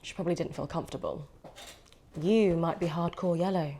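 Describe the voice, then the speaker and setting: Lady acting as sardonic teasing girlfriend, lowish voice, bickering stage drama, faint noise behind. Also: brisk, warm tone, natural voice. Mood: probing, sardonic. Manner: sardonic, probing. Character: sardonic, teasing woman in a bickering romantic couple. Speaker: actor. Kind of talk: naturalistic stage drama dialogue. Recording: clear ambient background noise.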